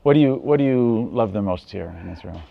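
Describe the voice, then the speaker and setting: Gravelly low-voiced male interviewer. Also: expressive, no room sound, dull audio. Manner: halting, filler-heavy questions. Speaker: journalist interviewer.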